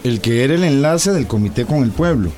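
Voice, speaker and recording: A man's voice: mid-range voice, lively intonation, fairly quick, darkish tone, close to an everyday voice. An actor playing a concerned, formal committee man asking insistent questions in a radio drama. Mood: concerned, probing.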